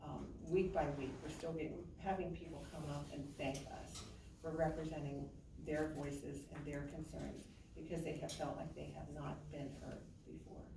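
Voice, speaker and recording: A woman hosts a community meeting in a casual, upbeat way. Her voice is low and comes from across an echoing hall. Noise is audible in the background. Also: level tone, normal speed, gravelly. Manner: casual, upbeat, many fillers. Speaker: meeting host. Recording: echoey hall, far from the mic, background noise.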